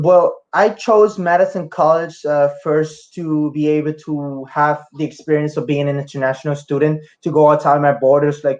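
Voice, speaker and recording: A man's voice: fairly high pitch, muffled recording. A young man from Rica, a student answering interview questions with frequent fillers and restarts. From Costa Rica. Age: young adult. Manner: hesitant, many fillers and restarts. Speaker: student guest.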